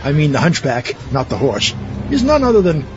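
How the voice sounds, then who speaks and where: A man's voice: a little high, huge pitch swings, a little fast. A man playing an irritable, sarcastic know-it-all scientist with a snide, exasperated manner in a comedic audio drama. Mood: scornful. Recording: muffled lo-fi recording. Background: music.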